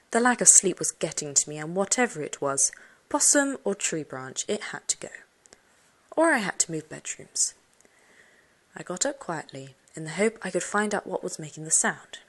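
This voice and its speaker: Animated woman narrator, low-pitched voice. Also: normal speed. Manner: expressive, suspenseful, dropping into hushed whispers. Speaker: audiobook narrator. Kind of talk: dramatic first-person storybook narration for children.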